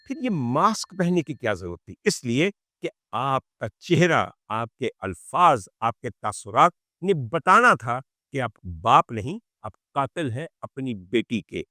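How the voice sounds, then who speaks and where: Man delivering indignant, animated spoken commentary. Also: fairly high pitch, no room sound, clean studio sound. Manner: rhetorical questions, dramatic pauses and repetition for emphasis; indignant, sarcastic. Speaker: commentary show host. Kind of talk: opinionated monologue commentary addressed to viewers.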